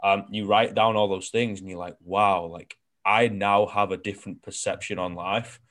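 A man's voice: lowish voice, fairly even intonation, normal speed, a bit bright. A young man hosting a casual interview, talking frankly and rambling with lots of fillers and the odd swear word. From outside New Zealand. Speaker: podcast host.

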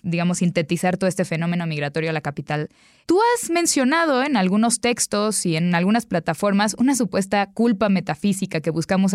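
A woman interviewer with a lower voice asks measured, probing questions. The sound is dry and close, with no room echo.

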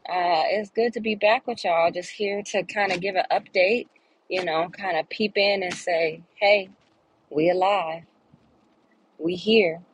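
A woman's voice: lowish voice, fairly even intonation, unhurried, slightly rough, low-quality recording. A woman co-hosting a casual podcast chat, tired from hospital work, laid-back and slangy. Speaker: podcast co-host, hospital worker.

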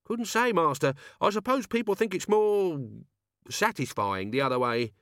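Man as Albert, anxious high-pitched servant. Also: very animated, moderate pace, fairly bright tone, no echo, clean studio sound. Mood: uneasy. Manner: deferential, pleading, exasperated. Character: Albert, anxious, grumbling male servant of Death.

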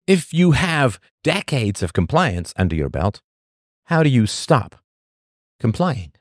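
A man hosting a podcast, reading a scripted monologue full of rhetorical questions and emphatic pauses. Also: mid-range voice, sing-song, no room sound, studio quality. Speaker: podcast host.

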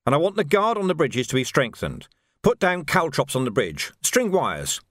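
A man's voice: fairly high pitch, huge pitch swings, normal speed, a bit bright, dry recording, voice pushed up, heavily acted. A man voicing a world-weary, authoritative watch sergeant in an audiobook, speaking tersely and with dry wit. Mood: firm, commanding. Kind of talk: audiobook character voice.

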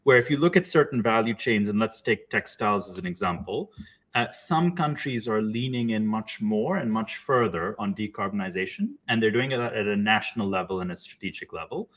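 Husky low guy from pakistan chatting on a panel, wanders into asides. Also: lively intonation, a little fast, a bit bright. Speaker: panel speaker. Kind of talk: conversational panel discussion.

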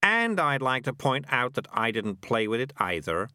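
Man doing a smug, wry talking cat, lively swooping delivery. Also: mid-range voice, normal speed, dry recording, clean studio sound, pitched above the performer's own voice. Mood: defensive. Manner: self-justifying, dryly wry.

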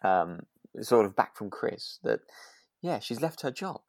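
A woman with a distinctly low voice chats as a podcast co-host. She is reflective and a little tentative, and the recording is dry, with little room sound. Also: big pitch swings, a little slow, a little mellow, no room sound. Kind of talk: relaxed radio drama recap chat.

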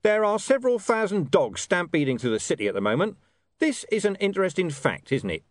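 A man plays a storybook police commander, sardonic and menacing. He speaks in halting, mock-casual sarcasm, raised and animated in pitch, with a very dry sound. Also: no echo. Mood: coolly menacing.

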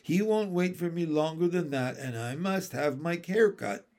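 A man's voice: a little high, expressive, unhurried. A man voicing a pompous, impatient and self-satisfied storybook king with a magnificent, commanding manner. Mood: irritable, impatient. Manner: magnificent, commanding, easily irritated.

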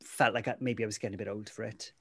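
A man's voice: lowish voice, fairly even intonation, fast, a bit bright, breathy edge, no room sound. A man with a Welsh accent whose first language is Welsh, recounting his career as a guest in a casual interview, rambling with lots of "you know" fillers. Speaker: interview guest, weather presenter.

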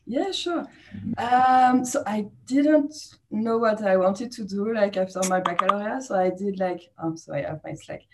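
Woman, 30s, French accent, relaxed chatty guest, medium pitch. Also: moderate pace, slight background noise, low-quality recording. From France. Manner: chatty, laughs often, many fillers. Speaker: podcast guest. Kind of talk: casual interview chat.

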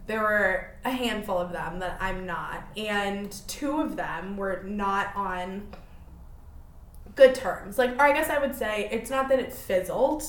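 A woman talks through her podcast in a loose, rambling, self-deprecating way. Her voice sits at a middle pitch. The recording has a noticeable room echo and a faint hiss underneath. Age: 20s. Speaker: podcast host. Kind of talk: casual solo podcast chat.

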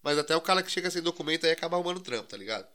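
A man's voice: fairly high pitch, animated, very fast, bright, forceful tone. A young man, co-hosting a podcast and telling stories in a slangy, rambling way. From Brazil. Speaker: podcast co-host. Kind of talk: casual chat.

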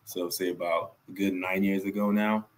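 A man's voice: deep voice, little pitch movement, moderate pace, a little hiss or hum. A young man from Nigeria, a guest on a podcast, telling his story in an earnest way and repeating points for emphasis. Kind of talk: casual podcast interview.

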